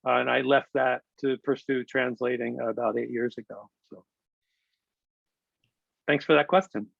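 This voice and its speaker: A man's voice: mid-range voice, steady tone, moderate pace, gravelly, a bit bright, no room sound, dull audio. A male translator answering audience questions on a video call, casual and rambling, with many ums and restarts. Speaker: translator giving a talk. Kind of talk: virtual lecture Q&A, casual.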